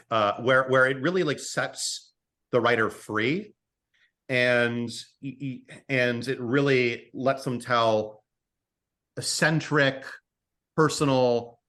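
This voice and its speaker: Male interview guest, writer type, mid-pitched, conversational, with halting repeated words. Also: fairly bright tone. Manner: hesitant, repeats words and stammers as thoughts form. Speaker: podcast guest, screenwriter and audio drama writer. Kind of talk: casual interview talk.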